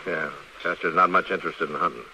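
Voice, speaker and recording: Laconic frontier lawman, deep-voiced, dry and steady; vintage radio western sound, distant with faint hiss. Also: quick pace, exaggerated voice. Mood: dryly amused. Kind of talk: old-time radio western drama acting. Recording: old radio recording, distant, hissy.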